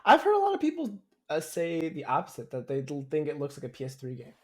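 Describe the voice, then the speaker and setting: A man's voice: a little high, huge pitch swings, fast. A man co-hosting a podcast in casual chat, rambling hesitantly and often repeating his phrases. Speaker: podcast co-host.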